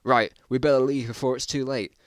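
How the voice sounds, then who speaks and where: A man voicing a commanding, frantic survivor leader in a story reading, shouting in exclamations. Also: medium pitch, steady tone, a little fast, smooth, no echo, clean studio sound, close to an everyday voice. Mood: urgent.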